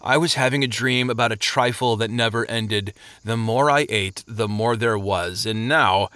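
A man's voice: mid-range voice, normal speed, bright, forceful tone, clean tone, no room sound, lightly acted. A man voicing a sleepy, pompous and self-satisfied fellow in an audiobook, with a moaning, peevish manner. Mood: groggy, grumbling. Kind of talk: audiobook character voice.

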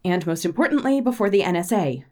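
Woman acting an animated, urgent intelligence agent. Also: lowish voice, fast, lightly acted. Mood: urgent alarm. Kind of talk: audio drama acting.

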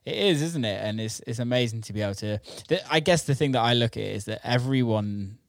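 A man's voice: mid-range voice, expressive, brisk, vocal fry, dry recording, studio quality. A man hosting an interview show, chatting in an informal, slangy way. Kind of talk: casual conversational interview.

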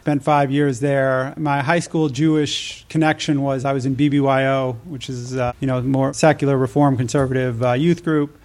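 A man's voice: mid-range voice, fairly even intonation, moderate pace, few pauses, darkish tone. The level-toned American man you would hear as a podcast guest, recounting his life story conversationally with little rise or fall. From United States (New Mexico and Nevada).

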